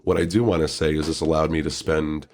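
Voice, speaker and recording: A man's voice: a bass voice, little pitch movement, quick pace, darkish tone. A congested man hosting a podcast, chatting loosely, swearing and laughing often. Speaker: podcast host. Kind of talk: casual chat.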